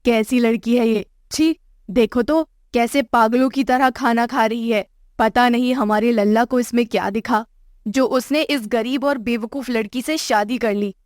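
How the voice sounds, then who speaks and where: High-voiced, nagging mother-in-law, acted by a woman. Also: fairly even intonation, unhurried, no echo, studio quality, lightly acted. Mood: disgusted scolding. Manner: haughty, nagging, insulting. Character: scolding, exasperated middle-aged mother-in-law of a rich household. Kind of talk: Hindi moral-story cartoon dialogue.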